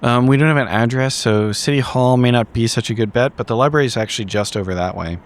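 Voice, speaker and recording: A man playing a blunt, awkwardly friendly female investigator in an improvised tabletop roleplay, talking casually and haltingly. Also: medium pitch, close to an everyday voice. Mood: awkward, helpful.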